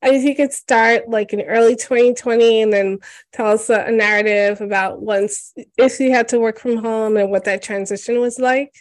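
Interviewer: woman, warmly encouraging questions, dry recording. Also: a little high, steady tone, moderate pace, no echo. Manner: encouraging, gentle, affirming. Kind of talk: oral history interview.

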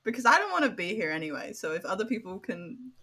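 A young British woman with a dry, deadpan wit and a sarcastic edge. Her bright voice is a little husky and moves lively up and down. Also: medium pitch, fast. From Britain. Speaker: podcast co-host. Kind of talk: casual chat.

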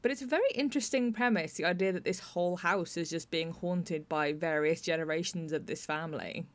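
A woman's voice: on the low side, a little fast. A British woman hosting a podcast, talking conversationally about theatre writing as a playwright. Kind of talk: conversational interview and discussion.

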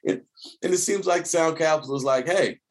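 A man hosting a casual podcast, asking questions in a lively, playful way. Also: on the high side, normal speed. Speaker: podcast host.

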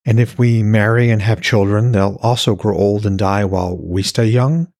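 A man's voice: fairly low pitch, fairly even intonation, normal speed, a little mellow, no echo, studio quality, close to an everyday voice. A man voicing an anxious, questioning woman in a fantasy audiobook, wary and hesitant. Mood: troubled, doubtful.

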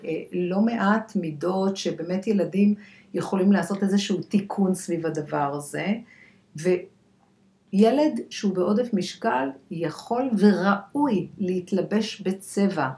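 An Israeli woman speaking as an expert guest in a conversational podcast interview, halting and repeating words for emphasis as she searches for phrasing. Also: on the low side, warm tone. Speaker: guest expert, clinical dietitian. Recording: echoey room.

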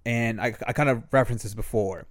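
A young man co-hosting a casual podcast, laying out his views in a measured, argumentative way with some swearing. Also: mid-range voice, dry recording, studio quality. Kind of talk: casual chat.

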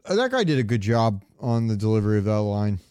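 A man's voice: fairly low pitch, sing-song, fast talker, darkish tone, clean tone, no echo. A man co-hosting a podcast, chatting casually about games, joking and thinking aloud on a close microphone. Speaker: podcast co-host. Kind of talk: casual gaming chat.

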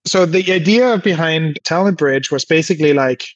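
A man's voice: on the high side, moderate pace, no room sound, muffled recording. A man from Denmark explaining things informally in a conversational interview, often hedging with asides. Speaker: podcast guest, biotech co-founder and scientist.

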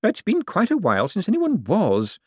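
A man voicing a sharp-tongued, defiant elderly woman in an audiobook. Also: sits high, wildly swooping pitch, normal speed, breathy edge, dry recording, studio quality, voice pushed up, exaggerated voice. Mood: tart, defiant.

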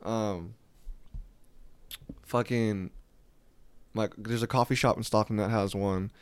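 A young man hosting a podcast, with a deep voice, chatting casually in a profane, rambling way. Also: fairly even intonation, clean tone, dry recording. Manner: profane, rambling, quick storytelling. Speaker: podcast host.

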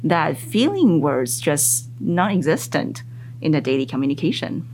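A woman psychologist whose first language is Mandarin, talking in a casual interview with thoughtful, lively rises in her voice. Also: deep voice, unhurried, slight background noise. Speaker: psychologist guest.

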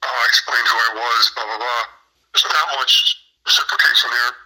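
A man's voice: mid-range voice, level tone, a little fast, bright, forceful tone, husky, rough recording. A man in his fifties from Illinois, telling his personal story as a podcast guest and often repeating words as he restarts. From Illinois, USA. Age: 50s.

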